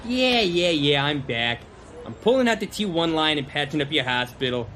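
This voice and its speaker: An actor playing a weary, griping technician in a humorous audio drama, resigned to the job. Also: male voice, on the high side, sing-song, normal speed, fairly bright tone, a little hiss or hum. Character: weary, grumbling technician pulling out hospital cable.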